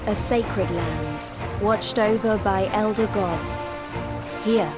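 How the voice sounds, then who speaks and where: A woman as a wistful, measured narrator. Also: mid-range voice, loud music behind the voice, lightly acted. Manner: reflective, measured. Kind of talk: scripted narration.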